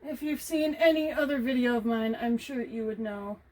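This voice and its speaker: A woman vlogger talking casually to herself as she paints, reflective, halting and encouraging, with a naturally quiet voice that she tries to project. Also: a little high, low-quality recording. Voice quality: normally quiet voice, making an effort to project. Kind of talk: casual self-talk while painting. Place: hot room indoors.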